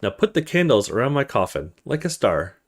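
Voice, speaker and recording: A man's voice: medium pitch, very animated, brisk, husky. A man reading the part of an eerie, commanding undead young boy in a horror visual novel, speaking in ominous requests.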